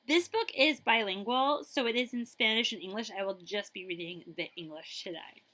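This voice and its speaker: A woman's voice: medium pitch, moderate pace, bright, forceful tone. A woman school librarian reading a children's picture book aloud expressively, with chatty asides to her listeners.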